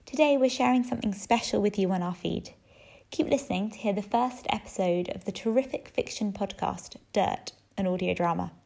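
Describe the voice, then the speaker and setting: Creaky-voiced woman introducing a podcast. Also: mid-range voice, brisk, darkish tone. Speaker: podcast host introducing a show. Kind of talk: scripted podcast announcement.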